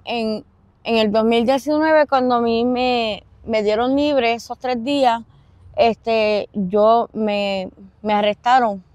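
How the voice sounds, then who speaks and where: A young woman with a Puerto Rican accent giving personal testimony in an interview, halting and pausing mid-sentence. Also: on the high side, darkish tone, dry recording. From Puerto Rico. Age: young adult. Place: outdoors in an open park.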